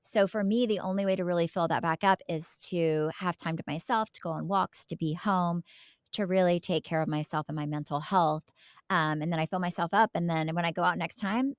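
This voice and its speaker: A woman's voice: lowish voice, fairly quick, no room sound, studio quality. A woman co-hosting a podcast in casual, candid conversation. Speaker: podcast co-host.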